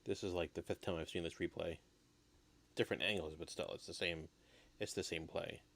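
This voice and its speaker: A man with a very low voice and a gravelly creak hosts a casual live baseball stream. He sounds a little raspy, as if recovering from an illness. His play-by-play is low-key and unhurried. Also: steady tone, a bit bright. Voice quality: slightly raspy. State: getting over an illness, coughing.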